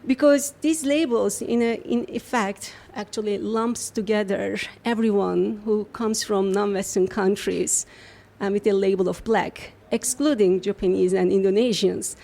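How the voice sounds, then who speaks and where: A woman's voice: mid-range voice, steady tone, vocal fry, mellow. Woman researcher explaining at length. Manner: explanatory, measured, with fillers. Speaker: university researcher, guest. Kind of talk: radio interview answers.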